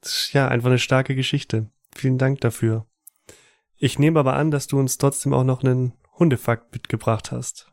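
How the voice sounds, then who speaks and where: A man's voice: mid-range voice, steady tone, moderate pace, vocal fry, dry recording. A man co-hosting a casual podcast, speaking thoughtfully. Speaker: podcast co-host.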